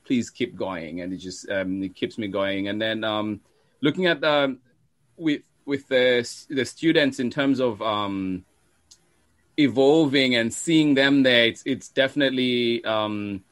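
A man's voice: mid-range voice, unhurried, a bit bright, no echo. Man guest speaking in a dry room. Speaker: teacher and storytime show creator, guest. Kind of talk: casual interview talk.

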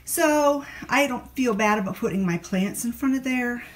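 A woman's voice: fairly high pitch, moderate pace. A middle-aged woman chatting casually as she tidies her country home, explaining things as she goes and often restarting her sentences. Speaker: home vlogger. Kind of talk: casual cleaning vlog narration. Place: country house, indoors, rooms with plants and a kitchen. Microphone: handheld phone camera.

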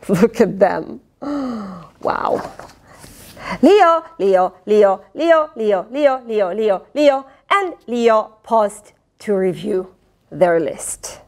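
A middle-aged woman reading a picture book aloud to children in an expressive, dramatic way, with playful asides. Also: medium pitch, drawn-out words. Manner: expressive, dramatic, with gasps, sighs and questions to the listener. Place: indoors, small fabric tent with cushions. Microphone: lapel.

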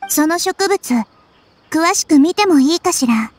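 A woman voicing a small, wise, gentle childlike goddess in an anime game, speaking in a measured, thoughtful way. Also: extremely high pitch, animated, noisy background. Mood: thoughtful, troubled. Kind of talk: Japanese anime game voice acting. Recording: studio voice over game music.

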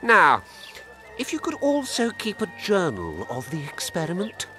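Eager alchemist with swooping intonation. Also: male voice, high-pitched, wildly swooping pitch, measured pace, bright, forceful tone, faint music behind, exaggerated voice. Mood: eager, persuasive. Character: eager, scheming male alchemist. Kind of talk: video game voice acting.

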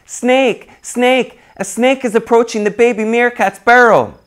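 A man voicing a young female meerkat who cries out in high, shrill barking calls, frantic and loud. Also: unhurried. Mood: alarmed. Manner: frantic crying out, later sobbing. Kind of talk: storybook reading.

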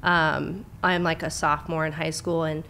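Lower-voiced young woman telling her story. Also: level tone, moderate pace, gravelly, bright, forceful tone, slight background noise. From Southern California. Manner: open, chatty, many "um" and "like". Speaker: clinical director, therapist, guest. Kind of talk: casual interview, personal story. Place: studio with brick wall. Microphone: studio mic on boom arm, headphones.

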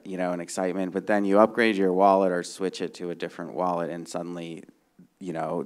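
A middle-aged man with a low voice and a noticeable fry. He explains technical points informally as a panelist, checking that listeners follow. He speaks into a handheld microphone in a conference room. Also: a little mellow. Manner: explanatory, checks understanding with "right?". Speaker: conference panelist, software developer. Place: conference room stage before a seated audience.

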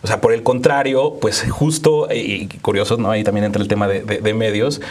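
A Mexican man in his thirties speaks as a guest on a studio panel. His voice is on the low side, and he gives his opinions conversationally, pausing to search for words. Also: steady tone, a little mellow. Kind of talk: conversational political commentary. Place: small dark quiet studio, seated at table.

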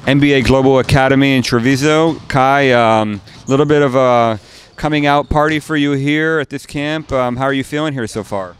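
A man's voice: mid-range voice, normal speed, clear, resonant tone. A male scouting reporter asking interview questions, with frequent hesitations and fillers.

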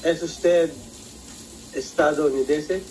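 Man, language student, careful, hesitant, slow, video call, faint noise. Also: a little high, fairly even intonation, darkish tone, poor audio. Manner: hesitant, careful, self-correcting. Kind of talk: practicing phrases in a class.

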